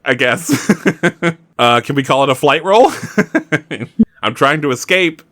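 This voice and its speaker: Animated, joking man playing a tabletop game. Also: medium pitch, slow pace. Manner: joking, mock-complaining. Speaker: player in a tabletop roleplaying game. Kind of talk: casual chat with in-character improvisation.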